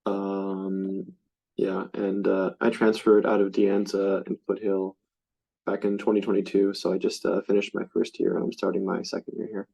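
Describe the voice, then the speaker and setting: A young man introducing himself on a student panel, halting and unhurried, heard over a remote call. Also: deep voice, monotone, vocal fry, dark tone, breathy edge, poor audio. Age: young adult. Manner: halting, many uhs.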